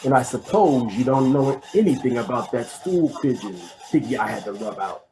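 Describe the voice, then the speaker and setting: A man's voice: medium pitch, expressive, normal speed, warm tone, natural voice. A man playing a menacing, impatient gang boss in an old-time radio crime drama, bossy and sarcastic with tough gangster slang. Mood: menacing, sardonic.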